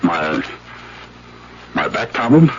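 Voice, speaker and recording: Proud, jealous scientist, swooping voice. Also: male voice, sits low, sing-song, takes it slowly, slight background noise, full character voice. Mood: stunned, wounded. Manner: intense, dramatic, indignant delivery. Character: proud, jealous male scientist, wounded and vengeful. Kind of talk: old-time radio drama acting. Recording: dull vintage radio broadcast, background murmur.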